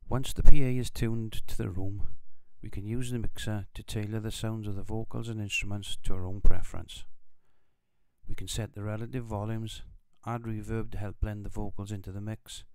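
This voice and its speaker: A man giving measured, scripted instructional narration in the manner of a tutorial instructor. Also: on the low side, level tone, a little mellow, no echo.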